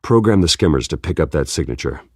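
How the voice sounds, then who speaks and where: A menacing vigilante detective from a video game, with a bottomless, gravelly voice. He speaks in terse, controlled, intimidating lines, captured dry and close. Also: lively intonation, fast, darkish tone, no room sound, heavily acted. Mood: analytical, terse. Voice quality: very low, gravelly growl. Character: grim, menacing masked vigilante detective, adult man.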